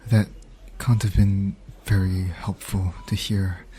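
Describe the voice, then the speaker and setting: A man playing a tender, apologetic medic at a bedside, soft-spoken and hesitant, in an ASMR roleplay. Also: low-pitched, little pitch movement, slow pace. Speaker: voice actor in an audio roleplay. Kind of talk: intimate ASMR comfort roleplay.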